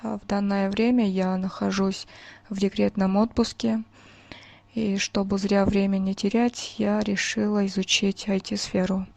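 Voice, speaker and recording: A woman's voice: mid-range voice, flat delivery, a little slow, creaky, darkish tone, dry recording. A thirty-one-year-old woman giving a plain introduction of herself to an online class over a video call.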